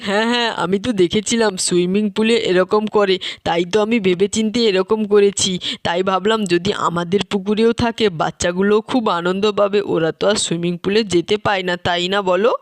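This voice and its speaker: An eager, cheerful village father from a doll-play tale, acted out by a storyteller. He talks in a chatty, proud way and keeps seeking approval. The sound is dry and close, at a middling pitch. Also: fairly even intonation, brisk, no room sound, lightly acted. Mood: proud, playful. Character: Bhim, cheerful village dad showing off his homemade slide.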